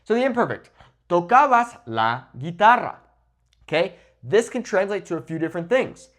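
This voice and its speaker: A man with a slightly high voice teaches a language lesson. His intonation swings widely as he stresses key points and frequently checks that the listener follows. He speaks straight to camera into a lapel mic. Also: big pitch swings, normal speed, fairly bright tone. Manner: emphatic, didactic, checks in often with "okay?". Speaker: Spanish teacher. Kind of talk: instructional lesson to camera, switching between English and Spanish examples. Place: indoors, in front of a whiteboard. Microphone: lapel mic clipped to the shirt.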